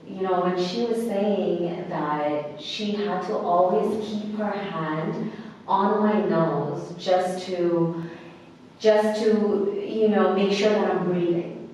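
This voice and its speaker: A woman in her thirties from Bangladesh, telling her life story as an interview guest in an earnest, halting way with many restarts. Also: fairly low pitch, darkish tone, slight background noise. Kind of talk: personal storytelling in conversation. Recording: echoey hall, mic at a distance.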